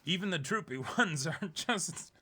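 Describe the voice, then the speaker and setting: Young man with a bright voice. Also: sits high, animated, measured pace, slightly rough. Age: young adult. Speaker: YouTube unboxing host. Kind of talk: reading a viewer letter aloud, casual. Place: small indoor room, seated.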